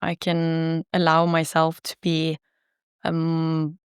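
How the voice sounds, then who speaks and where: A singer-songwriter woman from Norway, chatting casually in an interview, laughing easily and filling pauses with ums and likes. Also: low-pitched, monotone, clean tone, dry recording, clean studio sound. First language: Norwegian.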